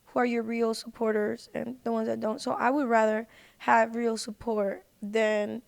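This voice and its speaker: A woman's voice: fairly high pitch, flat delivery, moderate pace, creaky. A woman speaking as a podcast guest in casual, slangy conversation with lots of filler "like.". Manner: informal, slangy, frequent "like". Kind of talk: casual chat. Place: podcast recording.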